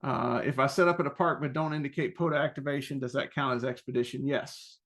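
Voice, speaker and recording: A man presenting an informal webinar question-and-answer session, explaining things in a halting, self-correcting way. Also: mid-range voice, fairly even intonation, fairly bright tone.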